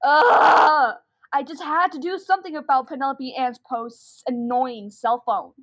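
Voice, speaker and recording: A young girl performs a scheming schoolgirl in a comedic radio play. She speaks in a high voice, exasperated and intense, like someone confessing with pride. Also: steady tone, unhurried, fairly bright tone, rough recording. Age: child about twelve.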